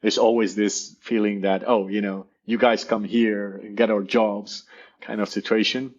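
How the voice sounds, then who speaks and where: A man's voice: on the low side, flat delivery, normal speed, muffled recording. A man with an Argentinian Spanish accent, a native Spanish speaker, talking in a casual interview, enthusiastic and often repeating words as he searches for them. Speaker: guest, professor.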